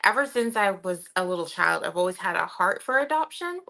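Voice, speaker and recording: A woman's voice: lowish voice, animated, a little fast, gravelly, pushed and bright. A young adult woman from Cameroon, a podcast guest telling her story in a chatty way with many fillers. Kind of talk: casual podcast interview.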